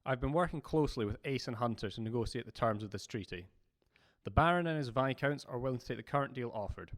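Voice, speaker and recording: A man's voice: mid-range voice, expressive, fast talker, close to an everyday voice. A man playing a loyal, diplomatic vampire deputy in an audio drama, formal and composed.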